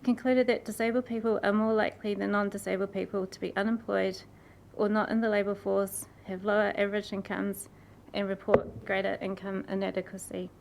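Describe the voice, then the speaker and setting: A woman with a croaky voice reads a prepared submission to a council. She speaks earnestly and apologizes after her slips. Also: mid-range voice, flat delivery, fairly quick, a little mellow, a little hiss or hum. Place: council chamber.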